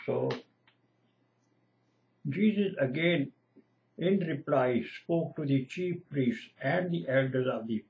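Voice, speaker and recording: An older man, a retired lecturer whose first language is Punjabi, slowly and earnestly expounding scripture at home. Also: medium pitch, vocal fry. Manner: slow, earnest, lecturing, repeats lists of divine names. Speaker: retired lecturer giving Bible exposition. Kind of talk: home-video scripture teaching. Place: small room indoors at home. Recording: dull lo-fi webcam audio.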